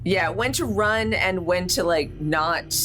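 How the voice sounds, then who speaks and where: A woman's voice: on the low side, slow, fairly bright tone, a little hiss or hum. A woman chatting casually as a player in a tabletop roleplaying game.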